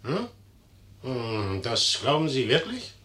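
Bold young general, swinging theatrical delivery. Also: male voice, mid-range voice, huge pitch swings, slow pace, heavily acted. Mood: wry, guarded. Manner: theatrical, declamatory, swinging from hushed to emphatic. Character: young General Napoleon, bold, mocking, cynical, proud. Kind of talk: 1950s German radio play acting.

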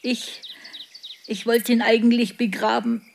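A woman playing a sentimental, superstitious village neighbour in a radio drama, speaking in a plaintive, drawn-out way. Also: on the high side, little pitch movement, gravelly, a little mellow, slight background noise. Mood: resigned.

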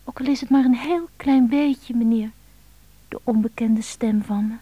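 A woman's voice: on the high side, moderate pace, warm tone, no room sound, muffled recording, close to an everyday voice. An actress playing a needy, lovelorn woman pleading insistently on the telephone in a radio drama.

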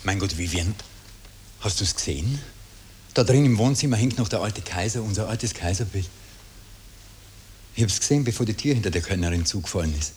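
A man acts out a brooding Viennese man lost in memories in an old radio drama. His voice is low and reflective, on a slightly hissy old tape. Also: brisk, pauses often, a little mellow, close to an everyday voice. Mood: brooding, wistful. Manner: reminiscing, brooding. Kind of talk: 1960s German-language radio drama acting.

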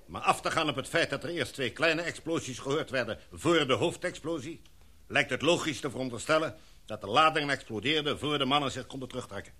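A man's voice: medium pitch, sharp tone. Een man die in een hoorspel een formele, gezaghebbende expeditieleider speelt en afgemeten, redenaarsachtig spreekt. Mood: grave, formal. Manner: formal, oratorical, measured. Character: authoritative, formal expedition leader, a knighted gentleman. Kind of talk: radio drama acting.

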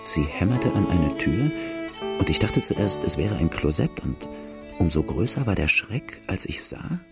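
A man playing a grave outlaw who recounts his hard life in a radio drama, measured and plain-spoken. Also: low voice, big pitch swings, loud music behind the voice, heavily acted. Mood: grave, reflective. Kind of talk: first-person radio drama narration.

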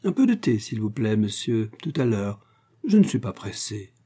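A man reading aloud, voicing a chattering guest at a ball who rambles on with breathless flattery. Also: on the low side, normal speed, husky. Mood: gushing.

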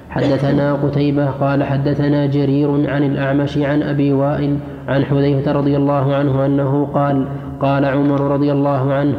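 A man formally reading classical religious texts aloud to a teacher, with measured, careful enunciation. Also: medium pitch. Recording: muffled, echoey hall, faint noise.

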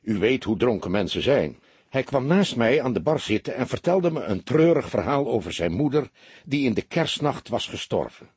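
Polite male businessman witness a man acts him out, explanatory, animated intonation, faintly rough tone, detective audiobook. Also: mid-range voice, normal speed, no room sound, pitched above the performer's own voice. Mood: amused, chatty. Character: earnest, round-faced businessman giving his account.

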